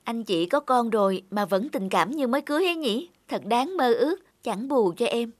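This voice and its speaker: A woman playing an emotional, heartbroken young woman in an animated drama, with plaintive, melodramatic delivery. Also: on the high side, normal speed, no room sound. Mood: wistful. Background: background music.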